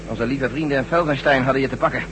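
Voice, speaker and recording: An actor playing a brisk, cool-headed general with a dry, commanding manner in a science-fiction radio drama. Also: male voice, medium pitch, lightly acted. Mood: brisk, composed. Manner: brisk, commanding, drily teasing. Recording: noisy hissy old radio recording.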